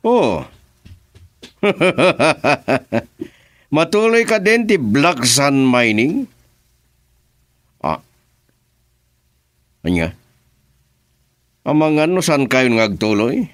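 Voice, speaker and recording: Man doing a menacing boss, slow, mocking, sing-song pitch, radio play. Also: sing-song, full character voice. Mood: probing. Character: scheming male boss, gruff and menacing. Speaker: boss on a phone call. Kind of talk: radio drama acting.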